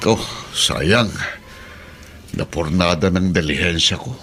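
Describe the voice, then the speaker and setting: A man's voice: sits low, takes it slowly, over music. An actor playing an older, idle father who grumbles reluctantly in a radio drama. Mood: grumbling.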